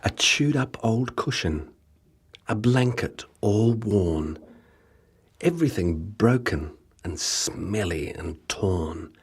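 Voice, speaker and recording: A man reading children's rhyming stories as an audiobook narrator, with measured, rhythmic, theatrical delivery. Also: lowish voice, animated, husky. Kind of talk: scripted children's storybook narration in rhyming verse.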